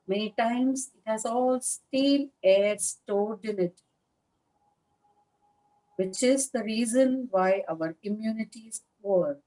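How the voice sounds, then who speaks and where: A woman leading a guided meditation as a teacher, speaking in a calm, measured manner with long pauses between short phrases. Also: on the high side, rough recording. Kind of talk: guided meditation instruction, live session.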